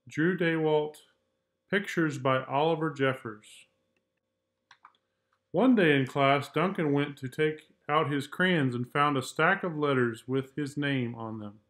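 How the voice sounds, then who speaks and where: A man reading a children's picture book aloud in a measured, deliberate storytelling style. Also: on the high side, a bit bright.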